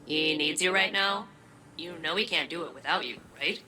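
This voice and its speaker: A woman's voice: low voice, normal speed, pushed and bright. A woman voicing a gloomy, sardonic female ship AI in a video game, speaking in casual understatement. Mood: frank. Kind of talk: video game character acting.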